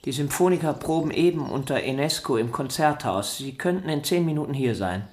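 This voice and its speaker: A vain young violin prodigy. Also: female voice, deep voice, lively intonation, fairly quick, a little mellow. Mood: insistent, vain. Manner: arrogant, insistent, theatrical. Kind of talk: radio drama acting. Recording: roomy studio echo.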